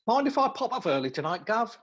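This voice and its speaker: An actor in a live radio play, playing a cheeky, persistent young undercover detective with a breezy, theatrical manner. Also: male voice, high voice, very animated, quick pace, slightly rough, lo-fi sound, heavily acted. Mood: earnest.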